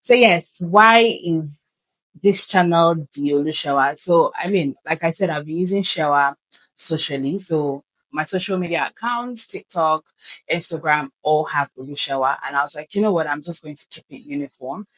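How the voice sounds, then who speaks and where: A woman's voice: low-pitched, moderate pace, a bit bright, low-quality recording. A woman in her twenties with a Nigerian accent, chatting reflectively to camera as a lifestyle vlogger. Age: 20s. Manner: chatty and reflective, frequent laughs and ums. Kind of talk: casual talk to camera.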